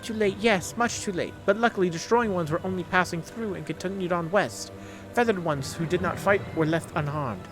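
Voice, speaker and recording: A man doing a simple beast creature, high pitched, earnest, halting broken English, fantasy game, music behind. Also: natural voice, poor audio. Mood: anxious. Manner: halting third-person speech.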